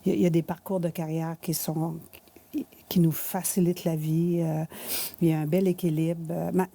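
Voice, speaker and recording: A woman in her sixties with a Quebec French accent, answering interview questions conversationally in halting, hesitant phrases. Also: lowish voice, little pitch movement, gravelly, mellow. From rural Quebec, Canada. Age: 60s. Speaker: interview guest, finance manager.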